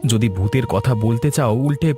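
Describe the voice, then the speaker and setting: A man's voice: medium pitch, brisk, over music, close to an everyday voice. A man voicing a concerned, earnest village man in a horror cartoon. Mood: worried, reassuring.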